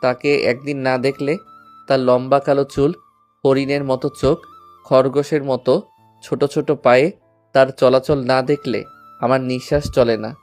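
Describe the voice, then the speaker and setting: A man's voice: medium pitch, little pitch movement, measured pace, a little mellow, soft background music, lightly acted. A man playing a devoted, lovesick schoolboy in an audio love story, speaking earnestly and sentimentally. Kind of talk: Bengali audio story acting.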